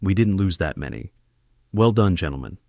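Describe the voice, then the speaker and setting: A man acts out a composed crime boss in an audio drama. He speaks in a lowish voice with formal, commanding politeness over faint noise. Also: very animated, moderate pace, creaky, darkish tone, heavily acted. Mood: composed, pleased. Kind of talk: amateur audio drama acting.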